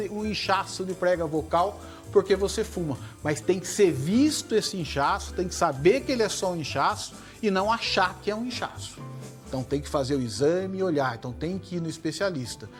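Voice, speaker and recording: A middle-aged Brazilian man, a surgeon guest, explaining in a measured way and often tagging his points with "né?". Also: sits high, lively intonation, faint music behind. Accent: Brazilian Portuguese. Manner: measured, tag questions like "né?". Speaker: head and neck surgeon guest. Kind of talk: explanatory interview answers.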